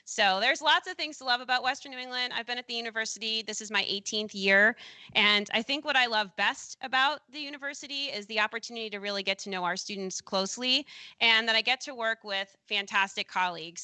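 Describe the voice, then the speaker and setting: An upbeat woman hosts a live university webcast from a campus commons. Her voice is very bright and crisp, recorded dry and close with almost no room sound. She keeps a cheerful, welcoming tone. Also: medium pitch, no echo. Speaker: university staff host. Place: university commons with dining hall.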